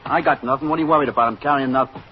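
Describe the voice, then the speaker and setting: Actor as a very fast-talking, testy hoodlum. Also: male voice, mid-range voice, natural voice. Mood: defiant. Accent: American. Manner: streetwise, testy, rapid denials. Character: defiant, wisecracking male small-time hoodlum. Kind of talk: old-time radio crime drama acting. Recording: dull, noisy vintage radio recording.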